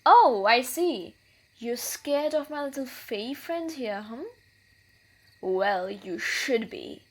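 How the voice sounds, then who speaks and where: A woman's voice: fairly high pitch, lively intonation, takes it slowly. A woman playing a wily, menacing thief in a scripted fantasy audio roleplay, with a theatrical delivery. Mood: menacing, mocking.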